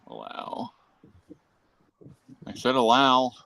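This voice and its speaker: A man hosting a casual livestream, easygoing and relaxed, reading out the chat and swearing now and then. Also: medium pitch, drawn-out words, creaky, dry recording. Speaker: livestream host.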